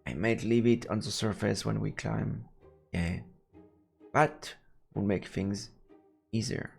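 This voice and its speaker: A man streaming a let's play, reading visual novel narration in a halting way with casual asides. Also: lowish voice, fairly even intonation, gravelly, husky, soft background music.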